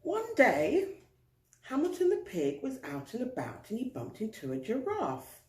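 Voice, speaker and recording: Slow, animated woman storyteller in echoey room. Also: on the high side. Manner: expressive, deliberate, with drawn-out emphasis. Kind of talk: children's picture-book read-aloud. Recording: roomy, echoing indoor sound.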